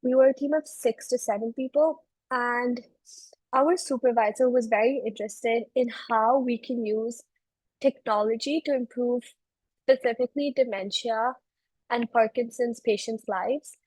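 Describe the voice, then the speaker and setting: A woman's voice: fairly high pitch, fairly even intonation, unhurried, a little mellow, no room sound, poor audio. A woman in her twenties from Belgium, explaining things conversationally as an interview guest. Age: 20s. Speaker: interview guest, research analyst.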